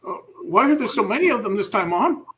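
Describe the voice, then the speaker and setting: A man's voice: high voice, very animated, fast, bright, forceful tone, a little hiss or hum, pitched above the performer's own voice, exaggerated voice. A man playing an anxious teenage boy who questions his mother nervously in an amateur story reading.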